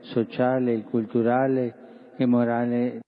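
A man giving a public address slowly and deliberately, in a steady monotone. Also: mid-range voice, dull audio.

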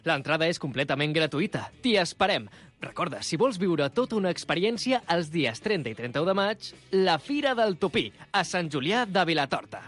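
A man reading radio ads in a clear, upbeat promotional way. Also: a little high, big pitch swings, moderate pace, fairly bright tone. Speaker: ad announcer. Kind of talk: scripted radio ad read. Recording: music bed behind.

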